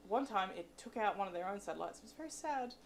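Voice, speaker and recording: A woman's voice: medium pitch, lively intonation, fast talker. A woman giving informal, candid explanations as a guest science speaker answering questions at a live talk. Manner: chatty, explanatory, candid about limits of expertise. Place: presentation room with an audience. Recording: noisy live room recording.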